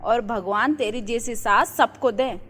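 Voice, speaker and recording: In a long, echoing reverb, a high-voiced neighbourhood housewife from a cartoon moral tale. She is gossipy and effusive, laying on the flattery. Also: unhurried. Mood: gushing praise. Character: gushing, flattering neighbourhood housewife, friend of the mother-in-law. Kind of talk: Hindi moral-story cartoon acting.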